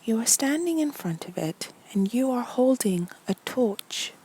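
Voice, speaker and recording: A woman narrating a guided meditation story in a soothing, deliberate way, with a soft, breathy voice that often nears a whisper and many pauses between short phrases. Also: on the high side, animated, low-quality recording.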